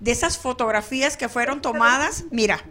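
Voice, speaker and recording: A woman's voice: on the high side, fairly quick, bright, forceful tone. A woman hosting a radio chat show, chatty and effusive, laughing easily as she talks. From Venezuela. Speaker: radio host.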